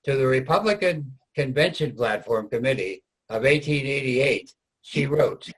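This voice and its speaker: A man with a slightly husky voice narrating a scripted readers' theater piece. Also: medium pitch, lively intonation, moderate pace, poor audio.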